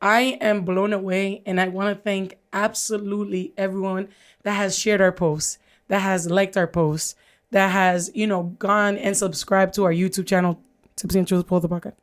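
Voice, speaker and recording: Loud, pronounced-voiced woman co-hosting a podcast. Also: medium pitch, level tone, moderate pace. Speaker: podcast co-host. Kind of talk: casual chat.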